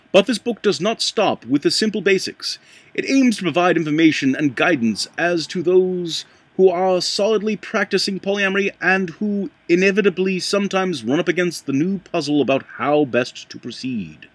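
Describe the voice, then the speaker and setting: A man reading a nonfiction book aloud in a put-on accent, halting at times and correcting himself as he stumbles over words. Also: sits high, fairly bright tone, no room sound. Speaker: amateur book reader.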